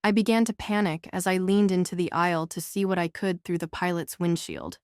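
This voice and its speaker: A woman's voice: on the low side, expressive, fairly quick, a little mellow, clean tone, no room sound, studio quality. A woman reading scripted first-person novel narration as an audiobook narrator.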